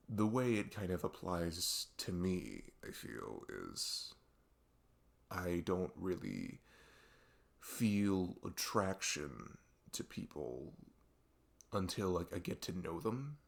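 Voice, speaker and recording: A man playing a best friend who confides about a fight with his parents in a casual, halting, rambling ASMR roleplay style. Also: low-pitched, very animated, creaky, heavily acted. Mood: earnest, explaining. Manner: halting, rambling, exasperated sighs.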